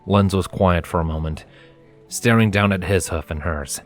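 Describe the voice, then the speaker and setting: A man narrates a story in a fairly deep voice. His delivery is measured and expressive, following a written script. Soft music runs underneath.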